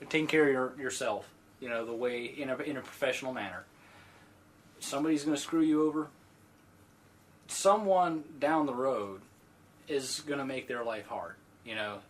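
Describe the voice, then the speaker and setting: A man with a mid-range voice chats on a podcast, restarting his phrases over and over as he works out what to say. A faint hiss sits under his voice. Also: mid-range voice. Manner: halting, many restarts. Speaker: podcast co-host. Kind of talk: casual podcast chat.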